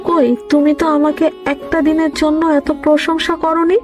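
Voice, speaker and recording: A woman's voice: high voice, level tone, normal speed, darkish tone, faint music behind, close to an everyday voice. A woman voicing a spiteful, complaining middle-aged mother-in-law in a fairy-tale cartoon, sarcastic and self-pitying. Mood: bitter, sarcastic.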